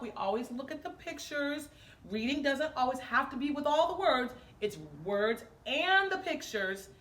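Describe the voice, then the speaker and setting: A woman reading aloud to children in an expressive, sing-song way, talking to them directly as she goes. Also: a little high. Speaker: children's story-time reader. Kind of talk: interactive read-aloud to children, part sung.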